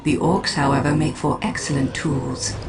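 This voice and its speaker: A woman's voice: low voice, fairly even intonation, background noise, natural voice. Woman playing a cold, commanding female alien seer. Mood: cold, assured. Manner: measured, formal, imperious. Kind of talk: video game cutscene acting.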